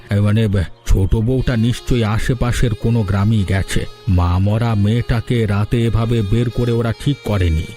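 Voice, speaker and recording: A man's voice: fairly low pitch, mellow, natural voice. A man playing a gossiping but sympathetic villager in a cartoon story, talking in a colloquial, confiding way. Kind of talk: Bengali cartoon story voice acting.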